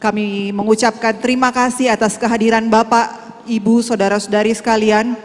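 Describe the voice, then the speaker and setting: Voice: medium pitch, flat delivery, fairly quick, slight background noise. An emcee making polite, formal closing announcements at a church Christmas celebration. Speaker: event emcee. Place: large church hall. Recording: long hall reverb.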